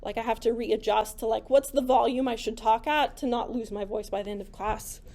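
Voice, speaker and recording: A woman giving a classroom lecture in a conversational way, full of "like" and "right?", her voice slightly hoarse from talking. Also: fairly high pitch, fairly quick, warm tone. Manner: conversational, many "like" and "right?" fillers. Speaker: psychology lecturer. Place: lecture room.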